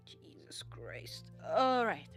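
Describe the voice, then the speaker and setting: A woman chats unhurriedly with her stream viewers, drawling and in no rush. She rambles through her thoughts, padding them with fillers, and breaks into laughs often. Soft music plays faintly behind her. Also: on the high side. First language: Czech. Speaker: live streamer. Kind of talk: casual chat with viewers.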